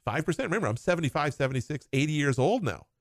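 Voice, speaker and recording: A man's voice: a little high, big pitch swings, racing, no echo, studio quality. A man who talks as a financial advisor, explaining retirement planning in short clauses and laughing now and then. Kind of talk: conversational explanation.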